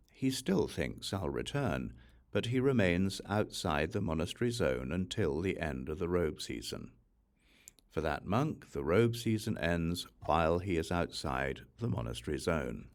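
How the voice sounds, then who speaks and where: A man reading scripture aloud as an audiobook narrator, in a measured, formal manner. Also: sits low. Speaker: audiobook reader.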